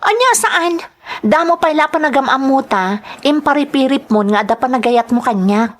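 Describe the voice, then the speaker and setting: A woman's voice: high-pitched, lively intonation, moderate pace, pitched above the performer's own voice. A woman with an Ilocano accent playing a coy, doubting and tender young woman being courted in a radio drama. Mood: teasing, doubting.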